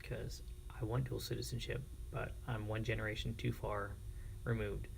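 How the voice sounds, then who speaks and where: An American man streaming casually, chatting informally and reacting to viewers in a creaky, gravelly voice. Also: fairly low pitch, level tone, moderate pace, slight background noise, rough recording. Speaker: live streamer. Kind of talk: casual livestream chat with viewers.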